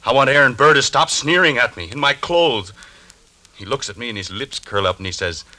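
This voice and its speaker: A man's voice: mid-range voice, animated, brisk, sharp tone, no echo, rough recording. An actor playing an irritable, obsessive husband haunted by his dreams, testy and insistent, in the style of 1940s radio drama. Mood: sullen, brooding. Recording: vintage 1940s radio recording.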